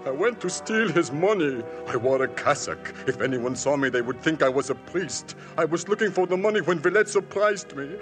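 A man's voice: a little high, lively intonation, moderate pace, hardly any pauses, close to an everyday voice. An actor playing a frightened refugee man who has killed someone, with a pleading, anguished delivery, in a 1950s radio drama. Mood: desperate. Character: frightened German refugee man, guilt-ridden murderer. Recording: old broadcast with faint music.

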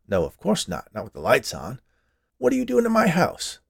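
Storybook everyman homeowner acted by a male narrator, exasperated and defensive, creaky voice, animated delivery. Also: medium pitch, normal speed, voice pushed up, exaggerated voice. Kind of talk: storybook character voice.

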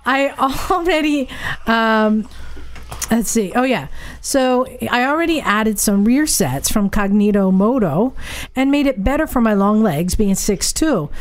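A woman's voice: a little high, lively intonation, measured pace. A woman co-hosting a motorcycle podcast, chatting casually and reading listener emails aloud with a playful, laughing manner. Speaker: podcast co-host.

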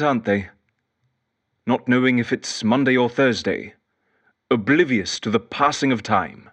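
A man's voice: mid-range voice, a bit bright, dull audio. An actor playing a lonely, eccentric male detective who rambles fussily and whimsically into an audio diary in an audio drama. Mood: wistful. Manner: fussy, whimsical, wistful, digressive. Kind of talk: audio drama monologue as spoken journal.

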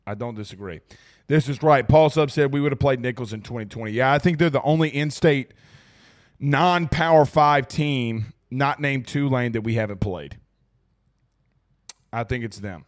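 A hoarse man hosting a casual sports talk show, excitable and emphatic, repeating lines for effect as he reads viewer comments. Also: medium pitch, normal speed.

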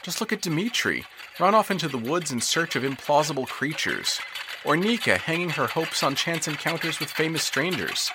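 A man narrating an audio drama in scripted, expressive storytelling, swelling with tension and dropping to hushed tones. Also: fairly high pitch, normal speed, background noise.

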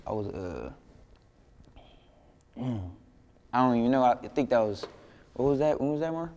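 A young man from Flint, Michigan, a rapper telling stories in a casual interview, laid-back and slangy, often trailing off quietly. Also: medium pitch, expressive, moderate pace, long reverb tail. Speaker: rapper guest. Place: indoor interview room.

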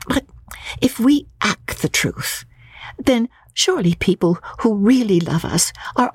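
A woman voicing a fond, scolding young wife in a dramatic audiobook reading, brisk and exclamatory. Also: mid-range voice, darkish tone, breathy edge, no echo, voice pushed up. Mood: wistful. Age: young adult.

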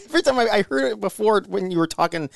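A man's voice: high voice, expressive, a little fast, gravelly, no room sound. A man co-hosting a podcast in casual chat, joking and often stumbling over his words. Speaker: podcast co-host.

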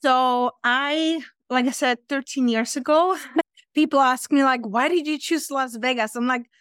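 A woman's voice: sits high, unhurried, a bit bright, clear, resonant tone, no echo. A woman in her thirties from the Czech Republic with a Czech accent, chatting as a podcast guest with many fillers. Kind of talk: casual interview chat.

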